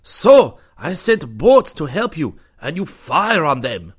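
Brief: male narrator plays a hostile, suspicious spaceship commander; curt and commanding, pitched up. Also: very animated, moderate pace, gravelly, fairly bright tone, husky, exaggerated voice. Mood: gruff, suspicious. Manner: curt, barking orders. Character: scowling armed male enemy ship commander.

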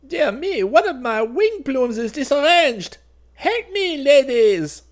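A man's voice: high voice, very animated, unhurried, creaky, pitched above the performer's own voice, exaggerated voice. A man voicing a vain, pompous bird king in a storybook, speaking in a grandiose, gracious and self-admiring manner. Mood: flustered. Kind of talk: storybook character voice.

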